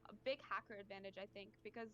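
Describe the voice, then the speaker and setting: A woman in a casual voice chat who talks quickly and hedges, unsure how to put her point. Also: medium pitch, fairly even intonation, muffled recording. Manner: quick, unsure, often hedging.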